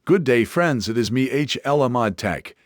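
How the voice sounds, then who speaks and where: A man's voice: medium pitch, animated, moderate pace. A man's computer-generated voice delivering a scripted tutorial greeting.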